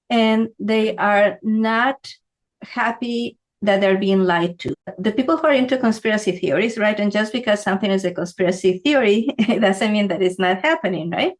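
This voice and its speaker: A woman from Ecuador explaining symbolism in a relaxed teaching talk, often checking in with "right?". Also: mid-range voice. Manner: conversational, asks "right?" often. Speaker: feng shui teacher.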